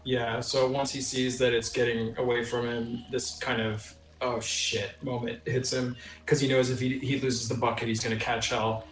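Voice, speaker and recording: Flat-toned man in an echoey room. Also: mid-range voice, moderate pace, few pauses, far from the mic. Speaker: player. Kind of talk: improvised roleplay narration. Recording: roomy echo, away from the mic.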